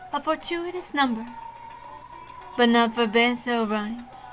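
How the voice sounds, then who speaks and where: Bright, higher-voiced woman reading aloud over music. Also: rough recording. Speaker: streamer reading a visual novel aloud. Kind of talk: expressive read-aloud of game text with casual asides. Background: game soundtrack music.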